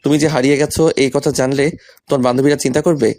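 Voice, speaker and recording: A man's voice: medium pitch, fairly even intonation, quick pace, darkish tone, slight background noise. A young man reading a scripted first-person romantic story, voicing the male lead's lines as well. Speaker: story narrator and male lead.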